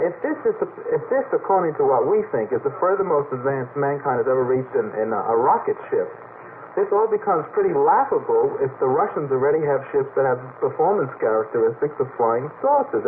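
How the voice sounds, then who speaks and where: A noisy, band-limited old radio recording carries a mid-pitched man on a discussion panel. He talks at length in a halting, wordy way, doubling back on words as he goes. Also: narrow, tinny audio. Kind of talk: unscripted panel discussion with anecdotes. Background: clear noise.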